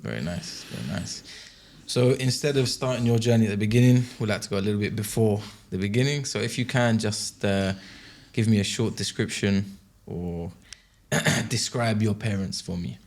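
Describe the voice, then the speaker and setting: A man hosting a podcast and interviewing a guest, soft-spoken, with frequent quiet acknowledgements. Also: on the low side, moderate pace. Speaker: podcast host.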